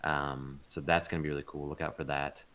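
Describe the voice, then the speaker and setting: Guy with a super deep low voice, chatting casually in an interview, loose and informal. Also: level tone, fairly quick, fairly bright tone. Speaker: interview guest, voice actor and audio drama producer.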